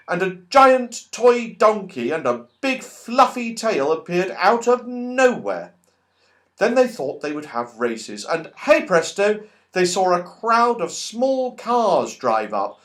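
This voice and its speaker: Male storybook reader: higher-pitched, unhurried, highly expressive, playful. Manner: animated, playful, exclaiming at events. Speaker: audiobook reader. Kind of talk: expressive children's storybook narration.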